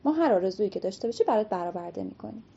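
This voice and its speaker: A woman voicing a group of kindly magical old women in a told folktale, chattering quickly. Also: mid-range voice, lively intonation, vocal fry, mellow, breathy edge, muffled recording.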